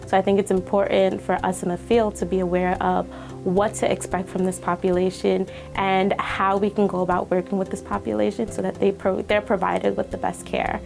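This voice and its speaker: A woman's voice: medium pitch, level tone, a little fast, creaky, music in the background. A young woman, a student researcher, giving a spoken testimonial about her work. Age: young adult. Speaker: speech pathology graduate student researcher.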